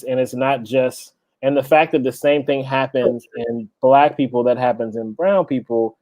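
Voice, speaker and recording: A man who is a guest chef, relaxed and thoughtful in casual interview chat over a video call. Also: medium pitch, dry recording. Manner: relaxed, thoughtful, laughs easily. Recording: dull lo-fi call audio.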